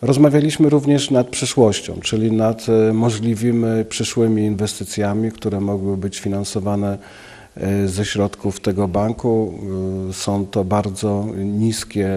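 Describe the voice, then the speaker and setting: A man in his fifties, a local official giving a news interview in an office, speaking haltingly with many filler sounds into a handheld mic. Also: on the low side, flat delivery, warm tone. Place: office, indoors. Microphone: handheld station mic.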